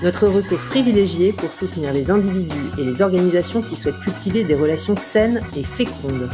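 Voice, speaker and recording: A woman's voice: lowish voice, animated, fairly quick, music in the background. A woman hosting a podcast, welcoming listeners and introducing the panel in a friendly manner. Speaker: podcast host.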